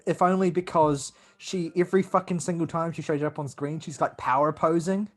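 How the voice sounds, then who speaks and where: A man's voice: on the high side, brisk. A man, casually reading game text aloud and joking with friends on a podcast. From New Zealand. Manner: relaxed, joking, laughs between lines. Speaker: let's play co-host reading the game text.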